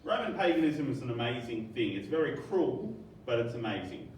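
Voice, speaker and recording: A man's voice: medium pitch, very animated, fairly quick, darkish tone, a little hiss or hum. A man teaching a history class, upbeat and jokey, quizzing his students. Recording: echoey room from far off.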